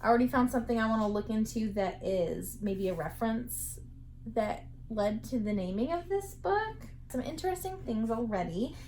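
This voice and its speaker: Chatty young woman, a bit high. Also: lively intonation, moderate pace, darkish tone, slight background noise, low-quality recording. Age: 20s. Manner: chatty, lots of "like" fillers. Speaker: knitting book vlogger. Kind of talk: casual reading vlog. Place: bedroom, sitting up in bed.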